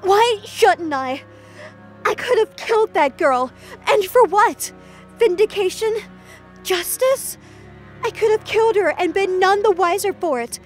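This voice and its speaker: Audio drama goddess, woman acting: troubled, emotionally intense, clearly high register, over music. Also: unhurried. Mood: anguished. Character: troubled, guilt-ridden warrior goddess.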